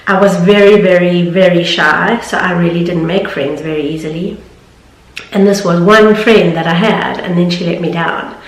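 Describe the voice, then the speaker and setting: A woman's voice: fairly low pitch, steady tone, moderate pace. A woman, slightly nasal from hay fever, talking earnestly and chattily to her viewers. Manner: chatty, earnest, laughs easily. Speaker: vlogger and confidence coach. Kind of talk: casual talk to camera. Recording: echoey room.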